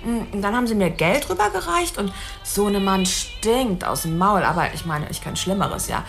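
A woman's voice: medium pitch, expressive, normal speed, vocal fry, fairly bright tone, faint music behind. An actress playing a brash young call girl in a radio play, streetwise and crude, talking in offhand slang. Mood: crude storytelling. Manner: slangy, drawling, offhand frankness. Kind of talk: dramatic radio play dialogue.

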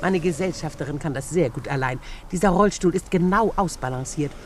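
A woman's voice: sits low, brisk, a little hiss or hum, lightly acted. An actress playing a domineering, stingy old invalid aunt in a radio play, imperious and nagging as she scolds. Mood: haughty politeness. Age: older. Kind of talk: German radio play acting.

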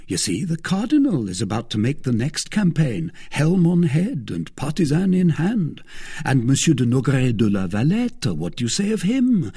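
A gallant, confident young soldier from a storybook, acted by a male reader. He banters breezily, his pitch slightly raised and very lively, with a soft huskiness. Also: moderate pace, husky, heavily acted. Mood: teasing. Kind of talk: storybook character dialogue.

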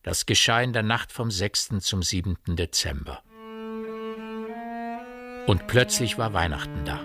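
A man narrating a radio play as a measured, literary storyteller. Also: low voice, expressive, bright, forceful tone, husky, soft background music.

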